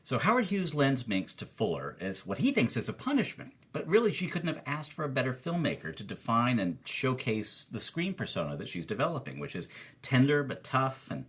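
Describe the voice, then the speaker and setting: A man's voice: mid-range voice, huge pitch swings, brisk, fairly bright tone, breathy edge. A man co-hosting a podcast, giving film commentary in an emphatic, enthusiastic way.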